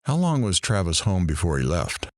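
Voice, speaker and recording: A sympathetic show host, acted by a man in an audio drama. His voice sits low and sounds dry, with no room around it. He questions attentively, gently prompting the story along. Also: big pitch swings, moderate pace, no echo. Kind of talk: audio drama acting, interview.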